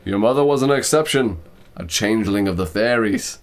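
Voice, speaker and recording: A man's voice: fairly low pitch, animated, a little fast. Man voicing a grave old aristocratic British cat. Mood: grave, sighing. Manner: grave, formal, theatrical. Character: dignified, reserved old male cat, aristocratic father. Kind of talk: storybook reading with character voices.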